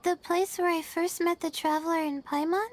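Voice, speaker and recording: A woman voicing a gentle, timid young student girl in an anime game, soft and hesitant. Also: very high-pitched, fairly even intonation, normal speed, slight background noise. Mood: timid, concerned.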